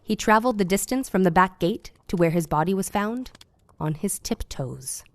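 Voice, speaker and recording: An actress playing an earnest, eccentric Victorian woman doctor in an audio drama, telling things in a formal, deliberate way. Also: medium pitch, animated, no room sound, natural voice. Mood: solemn, confiding.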